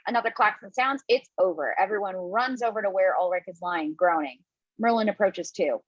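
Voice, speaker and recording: A woman's voice: medium pitch, fairly quick, dry recording, muffled recording. A woman reading scripted stage directions as narrator at a table read.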